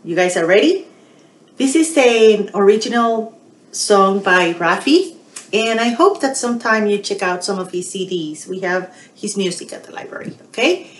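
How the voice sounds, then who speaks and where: A woman in her forties presenting storytime for young children, animated and full of questions to them. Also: medium pitch, moderate pace. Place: small indoor room. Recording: roomy home recording.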